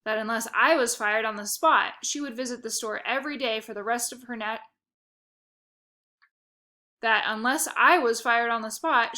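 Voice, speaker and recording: Bright, expressive woman reading a children's book. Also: on the high side. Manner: expressive, playful first-person reading. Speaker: narrator. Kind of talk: reading a children's novel aloud.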